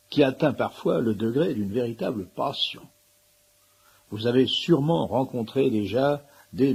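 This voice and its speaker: A man with a husky voice giving wry scripted narration to introduce a radio comedy. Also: medium pitch, darkish tone.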